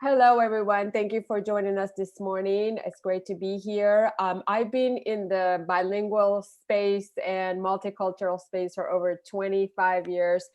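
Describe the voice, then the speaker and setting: A woman with a mid-range voice presents her children's books on a video call. She talks in a cheerful, animated way. She speaks through earphones with a mic from a room at home. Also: medium pitch, a little slow, hardly any pauses, muffled recording. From Puerto Rico. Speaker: children's book author.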